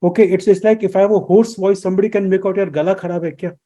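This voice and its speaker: A man talks through his subject as a radio guest. His voice sits high and sounds deeply mellow. He teaches as he goes and keeps posing rhetorical questions. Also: fairly even intonation, very fast. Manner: lecturing, poses rhetorical questions. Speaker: guest expert. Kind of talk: explanatory radio interview answers.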